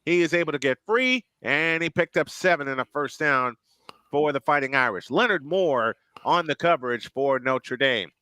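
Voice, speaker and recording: A man calling an alternative play-by-play of a football game, quick and excited, correcting himself as he goes. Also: a little high, smooth, no room sound. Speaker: play-by-play announcer.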